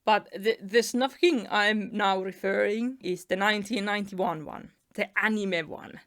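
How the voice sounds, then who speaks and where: A woman's voice: mid-range voice, a little slow, a bit bright. A young woman from Finland with a Finnish accent, chatting through a storytime narration with little laughs between phrases. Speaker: animation YouTuber. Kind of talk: storytime narration over a speedpaint.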